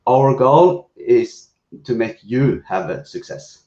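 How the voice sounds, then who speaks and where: A man's voice: mid-range voice, lively intonation, slow, mellow. Hesitant man on echoey muffled call. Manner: hesitant, many fillers and restarts. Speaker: business guest speaker. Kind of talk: conversational panel answer. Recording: roomy muffled video call audio.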